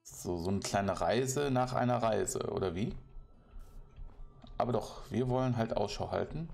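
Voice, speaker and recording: A man's voice: lowish voice, measured pace, gravelly, a little mellow, soft background music. A German man giving casual, playful commentary while playing a game, chatting to the animals and villagers he meets. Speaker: let's play commentator.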